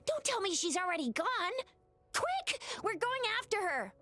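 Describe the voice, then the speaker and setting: An actress playing an earnest, eager young patrol officer in a video game, excitable and expressive. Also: female voice, very high voice, measured pace, soft background music, full character voice. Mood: concerned, urgent.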